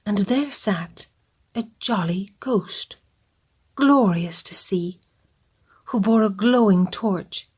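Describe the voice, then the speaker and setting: A woman narrating a radio play in a measured, husky, often half-whispered voice. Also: medium pitch. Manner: measured, hushed.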